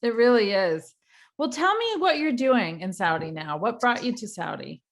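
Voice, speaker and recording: A woman's voice: on the high side, animated, moderate pace. A woman hosting an interview podcast, chatty and friendly. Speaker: podcast host.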